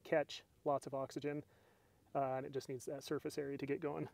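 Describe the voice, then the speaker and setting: A man's voice: medium pitch, flat delivery, brisk, vocal fry, darkish tone, a little hiss or hum. A middle-aged man hosting a camping vlog outdoors, chatty and self-deprecating as he explains his gear step by step to a camera. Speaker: outdoors channel host. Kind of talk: casual bushcraft vlog narration. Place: outdoors at a mountain campsite. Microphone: camera mic, body cam and camera on a tripod.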